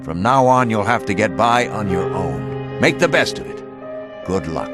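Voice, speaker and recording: A man's voice: on the low side, lively intonation, moderate pace, close to an everyday voice. A man playing a no-nonsense mentor at a camp in a fantasy video game, giving orders and advice. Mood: advising. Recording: music behind the voice.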